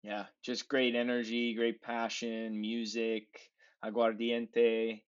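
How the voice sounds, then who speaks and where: A man in his twenties with an American accent, chatting casually and haltingly as a podcast guest. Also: medium pitch, completely flat delivery, smooth. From San Francisco, USA. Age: 20s. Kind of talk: casual travel chat. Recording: dull, lo-fi voice capture.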